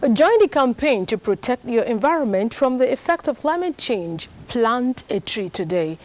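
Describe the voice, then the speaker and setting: A woman's voice: a little high, sing-song, moderate pace. A woman with a Nigerian accent reading formal scripted television news as a presenter.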